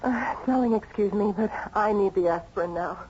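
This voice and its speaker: A woman's voice: mid-range voice, fairly quick, darkish tone, close to an everyday voice. Lady playing worried caring wife, old radio drama, muffled sound with a bit of hiss. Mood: uneasy. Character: anxious, caring wife of a troubled man. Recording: dull, hissy old radio recording.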